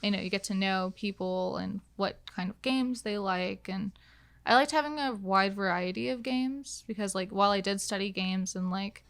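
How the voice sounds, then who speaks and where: A woman's voice: mid-range voice, moderate pace, gravelly, fairly bright tone, clean tone. A young woman talking casually and conversationally as a guest on a podcast. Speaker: podcast guest, game designer.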